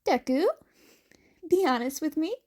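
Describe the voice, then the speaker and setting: A woman's voice: high-pitched, expressive, measured pace, a little mellow, voice pushed up. A woman voicing a stern, insistent teenage girl who probes her friend, in a fan fiction reading. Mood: serious. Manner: pointed, insistent.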